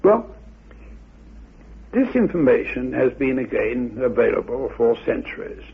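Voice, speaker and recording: A man giving a deliberate, halting, scholarly explanation, as a researcher would in a talk. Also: medium pitch, very animated, gravelly, slightly rough. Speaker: researcher interviewed about mythology. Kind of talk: explanatory talk with quoted recitation. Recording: muffled phone-like old radio recording.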